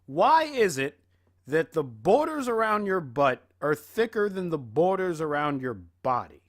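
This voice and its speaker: A man giving casual reaction commentary in an animated, rambling, exclaiming way. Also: on the high side, unhurried. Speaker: reaction video host.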